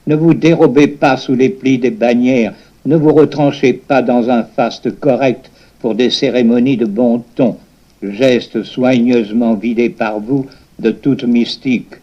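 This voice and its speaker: Slow, declamatory man reciting religious verse. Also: medium pitch, fairly even intonation, mellow, muffled recording. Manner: declamatory, exhorting, deliberate phrasing. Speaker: reader reciting a religious poem. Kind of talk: solemn poetry recitation in the manner of a sermon.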